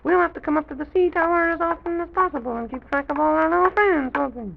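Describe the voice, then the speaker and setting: A man voicing a small, excitable, curious childlike character in an old children's radio serial, exclaiming and repeating himself in wonder. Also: very high voice, fast talker, gravelly, exaggerated voice. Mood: curious, delighted. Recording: dull vintage radio recording with music.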